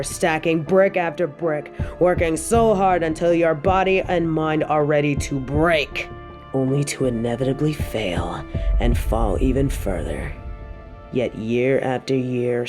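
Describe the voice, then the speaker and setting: A woman's voice: low-pitched, a little slow, darkish tone, faint music behind. A woman playing an evil, possessive dictator queen, cold, cruel and seductive, taunting in formal, imperious words in an audio roleplay. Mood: cold, scornful. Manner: taunting, imperious, formal wording, lingering delivery. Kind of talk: scripted audio roleplay monologue.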